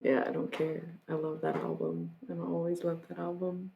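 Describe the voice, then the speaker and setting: A young woman streaming live, chatting casually and joking at her own expense. Her voice sits low, with a gravelly, fried edge. She drifts now and then into quick rap lines. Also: muffled recording, a little hiss or hum. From Michigan. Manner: joking, self-mocking, freestyles rap lines.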